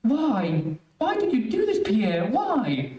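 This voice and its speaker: An actor playing a bewildered, alarmed doctor with exclamatory delivery in old-time radio melodrama. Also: male voice, extremely high pitch, wildly swooping pitch, takes it slowly, mellow, heavily acted. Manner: exclamatory, incredulous. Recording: echoey room, far from mic.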